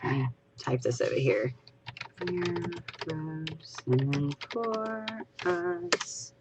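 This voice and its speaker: Southern woman host, Louisiana accent, lowish voice, rambling and a touch tipsy, faint background hiss. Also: huge pitch swings, extremely slow, gravelly. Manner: chatty, rambling, giggly asides. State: tipsy from drinking. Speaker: podcast co-host. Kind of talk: casual livestream chat.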